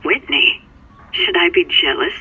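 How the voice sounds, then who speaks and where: A woman's voice: fairly low pitch, huge pitch swings, a little slow, bright, forceful tone, slightly rough, heavily acted. An actress playing a flirtatious female cat burglar who teases over a phone call, in a sultry, playful way. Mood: teasing. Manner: sultry, teasing. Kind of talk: video game cutscene.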